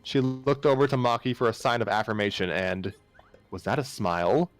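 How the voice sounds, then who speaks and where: A man's voice: mid-range voice, moderate pace, dry recording, faint music behind. A man narrating fan fiction aloud as an amateur reader, sometimes stumbling and rereading phrases. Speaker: story narrator.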